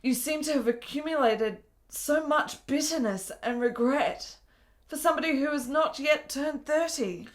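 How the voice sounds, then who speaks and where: A woman's voice: sits high, normal speed, natural voice. An actress playing a frail, ailing woman in her twenties in a period radio drama, earnest and frank with formal, precise phrasing. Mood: wistful, earnest.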